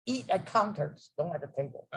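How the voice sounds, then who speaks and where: A man, telling his life story to a group, rambling and halting with many fillers and restarts. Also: high-pitched, animated, husky, rough recording. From China. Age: 90s. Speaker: guest speaker. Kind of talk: storytelling memoir.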